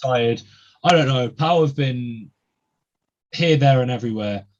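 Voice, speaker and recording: A man's voice: mid-range voice, unhurried, muffled recording. A young man hosting a casual podcast, chatty and full of ums and uhs. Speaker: podcast host.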